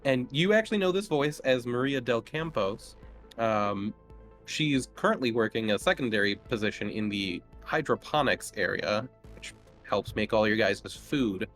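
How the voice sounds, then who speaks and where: A man narrating as game master of a tabletop roleplaying game, improvising descriptions in a halting way. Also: medium pitch, expressive, a bit bright. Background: music.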